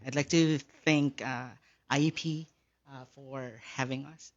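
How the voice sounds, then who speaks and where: A man's voice: medium pitch, fairly even intonation, muffled recording. Hesitant man from the Philippines. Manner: halting, many fillers. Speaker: high school English teacher. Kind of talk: radio interview guest.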